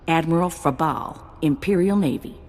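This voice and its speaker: A low-voiced, folksy bounty broker. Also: female voice, moderate pace, a little mellow, big-room sound. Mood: wry, teasing. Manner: folksy, colloquial, sardonic. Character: wry, folksy female bounty broker, streetwise and teasing. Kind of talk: video game acting.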